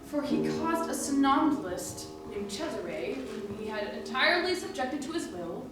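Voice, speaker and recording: A woman playing a bold, quick-witted schemer in a stage musical, with a brassy, playful, theatrical delivery. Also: sits high, big pitch swings, music in the background. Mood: curious. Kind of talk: live stage musical acting. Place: staged reading before a live audience. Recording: echoey hall, distant mic, clear noise.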